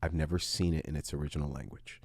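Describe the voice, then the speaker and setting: A man's voice: extremely low pitch, fairly even intonation, fast, no room sound, clean studio sound. A man from Costa Rica hosting a casual film podcast, chatting animatedly about movies. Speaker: podcast host.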